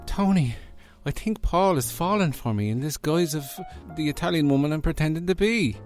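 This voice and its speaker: Over music, a man plays an earnest, scheming woman in a comedy radio serial. The voice is fairly high and swings about in pitch, flustered and easily confused. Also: expressive, normal speed, darkish tone, natural voice. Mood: urgent.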